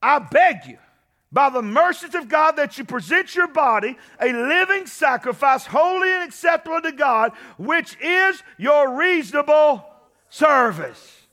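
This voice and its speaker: Male pastor with a drawl, very high and bright, preaching with rising shouts. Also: sing-song, a little slow. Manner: impassioned preaching, shouting at peaks. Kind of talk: sermon.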